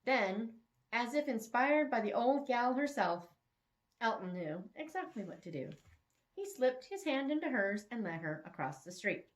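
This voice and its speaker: A woman reading fiction aloud in an expressive, dramatic storytelling style. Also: fairly high pitch, measured pace.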